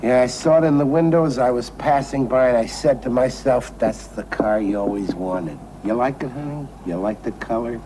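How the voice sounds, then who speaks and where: An actor playing a boastful, sentimental and tipsy American gambler in a television drama, rambling and repeating himself with emphasis. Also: male voice, mid-range voice, normal speed, hardly any pauses, warm tone, close to an everyday voice. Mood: dreamy, sentimental. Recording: noisy old television drama soundtrack.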